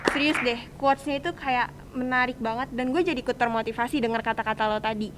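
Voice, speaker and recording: A woman's voice: on the high side, fairly even intonation, quick pace, over a noisy crowd. A teenage young woman with a Jakarta accent hosting a casual student podcast, chatty and encouraging in colloquial speech. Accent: Jakarta Indonesian. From Indonesia. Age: teens, high school student. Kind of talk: casual chat, interviewing. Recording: faint background ambient noise.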